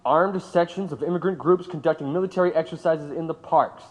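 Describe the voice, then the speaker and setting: A man's voice: a little high, fairly quick, a little mellow, lightly acted. A man acting in an audio drama as a stern, accusing government interrogator with a clipped, prosecutorial manner. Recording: long hall reverb.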